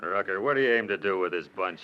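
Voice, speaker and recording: A man's voice: low voice, expressive, fast talker, clear, resonant tone, lo-fi sound. An actor playing a sly outlaw storekeeper henchman in 1940s radio acting style. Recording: hissy old radio recording.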